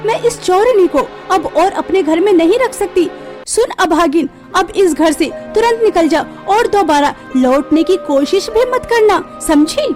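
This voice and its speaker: A woman's voice: very high-pitched, expressive, unhurried, darkish tone, faint music behind. A woman playing a spiteful, scornful aunt who accuses and bullies in a melodramatic cartoon story. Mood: scornful, angry. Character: spiteful scolding aunt, cruel household bully.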